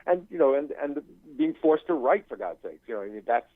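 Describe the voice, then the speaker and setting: An older man speaks as an interview guest through a narrow, phone-like line with no room sound. His pitch sits in the middle of the male range. He talks haltingly, searching for words and looping back over phrases as he explains. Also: animated, no echo. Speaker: guest, screenwriting professor and writer. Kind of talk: unscripted interview talk.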